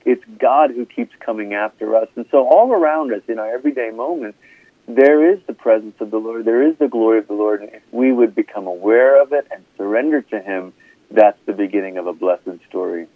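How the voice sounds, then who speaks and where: A man's voice: lowish voice, expressive, fairly quick, gravelly, no room sound. A man from Malaysia, in his forties, speaks earnestly and a bit like a preacher, circling back and restarting as he thinks. His voice comes through a narrow, tinny phone line. The sound is dry and close, with no room around it. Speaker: guest pastor. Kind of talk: conversational interview.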